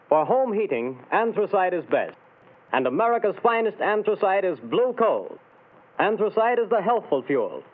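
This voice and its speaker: A male radio announcer giving an earnest, emphatic commercial read in a 1930s broadcast. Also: phone-quality sound. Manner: earnest, emphatic sales pitch.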